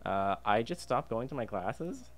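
A man's voice: mid-range voice, very animated, unhurried. A young adult Canadian man chatting casually as a guest in a podcast interview. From Alberta, Canada. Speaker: podcast guest, freelance illustrator and designer. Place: podcast booth inside a diner. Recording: clear background ambient noise.

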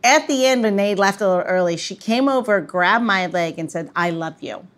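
Casual woman podcast host, mid-pitched. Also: moderate pace, a bit bright. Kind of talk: casual chat, anecdotal.

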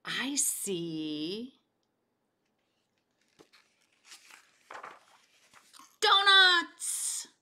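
A man's voice: sits high, wildly swooping pitch, very slow, bright, forceful tone, exaggerated voice. A woman voicing a greedy, ever-hungry bullfrog in a simple, blurting way for a children's storybook. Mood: sheepish.

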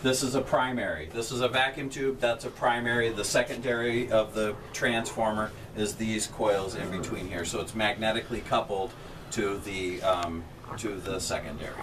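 A man's voice: lowish voice, normal speed. A middle-aged man, a transmitter technician, explaining equipment in plain technical terms to a tour group inside a metal shelter. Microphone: camera held by a visitor a few feet away. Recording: distant camera mic with background hum.

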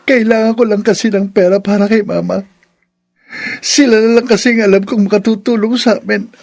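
A meek young son in a radio drama. The voice is high and rough-edged. He speaks hesitantly and deferentially, a long-suffering poor boy who pleads. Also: fairly even intonation, a little fast, a little mellow. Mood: sorrowful, resigned.

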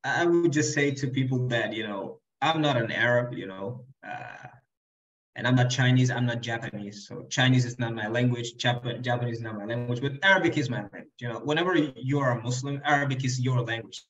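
A man's voice: medium pitch, a little fast, fairly bright tone. A young man, a guest Arabic teacher on a video call, talking earnestly and advising viewers, often repeating words as he goes. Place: indoor room. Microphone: desk microphone on video call. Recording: roomy, dull video-call audio.

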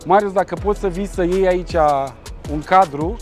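A man in his thirties presents an outdoor car meet in a joking, energetic way. His voice sits high for a man. Faint music plays underneath. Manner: lively, joking, talks with his hands. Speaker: car show host. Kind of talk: casual on-location presenting.

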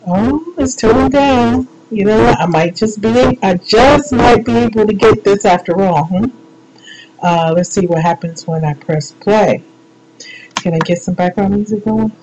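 A woman's voice: medium pitch, measured pace, rough recording. Chatty, rambling woman radio host. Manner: chatty, rambling, playful, self-deprecating. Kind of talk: informal live radio show chat.

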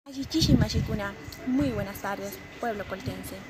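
A woman's voice: medium pitch, moderate pace. A sixteen-year-old girl, a pageant candidate, proudly inviting people to the festivities outdoors. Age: teens. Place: outdoor courtyard. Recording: loud outdoor noise.

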